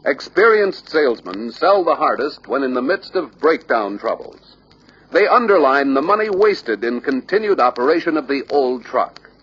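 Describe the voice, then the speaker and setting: A man reading scripted instructional narration for a sales training film, deliberate and emphatic. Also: medium pitch, sing-song, fairly bright tone, breathy edge. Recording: dull lo-fi old training film soundtrack.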